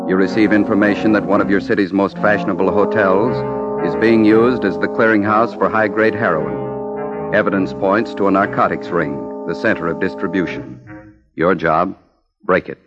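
A man voicing a grave, deep radio announcer. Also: lightly acted. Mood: solemn. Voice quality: solemn, measured. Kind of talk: dramatic 1950s radio announcing. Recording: muffled old radio broadcast with brass music.

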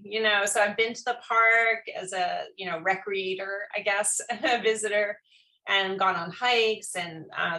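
A woman's voice: medium pitch, sharp tone, poor audio. A woman hosting an online conversation, talking loosely with many fillers and restarts. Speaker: host. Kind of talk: casual online panel chat.

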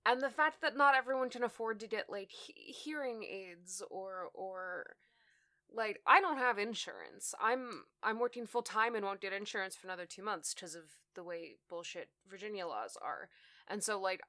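A woman's voice: medium pitch, animated, normal speed, sharp tone, no room sound, studio quality. Hesitant, sighing woman guest. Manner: hesitant, restarts and sighs, wry. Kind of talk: casual conversational interview.

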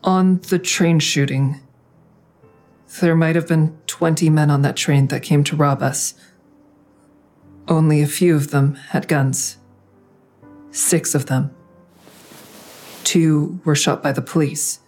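An actress playing a hesitant, guilt-ridden grown daughter who narrates and confesses plainly in an audio drama. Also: female voice, sits low, steady tone, slow, long pauses, darkish tone, faint music behind. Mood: reflective. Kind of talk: audio drama acting with first-person narration.

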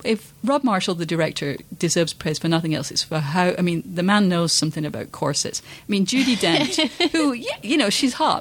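A woman's voice: mid-range voice, no room sound. A woman co-hosting a podcast, chatting casually about film in an emphatic, wry, informal way. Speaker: podcast co-host.

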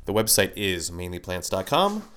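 A man's voice: low voice, big pitch swings, normal speed, a bit bright, a little hiss or hum. A man hosting a podcast, talking casually with a nasal, congested voice from a cold, rambling with many fillers and restarts. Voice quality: nasal, stuffy from a sinus cold. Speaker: podcast host. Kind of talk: casual solo podcast monologue.

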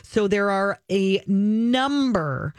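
A woman's voice: medium pitch, animated, slow pace, smooth, no echo. A woman co-hosting a radio show, chatting casually and giving listeners friendly tips. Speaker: radio co-host.

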